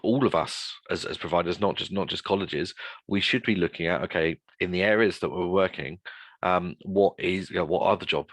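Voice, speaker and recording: A man speaking unscripted as a guest in a webinar discussion, halting and often rephrasing mid-sentence. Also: sits low, fairly bright tone, dry recording. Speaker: guest speaker presenting a survey report.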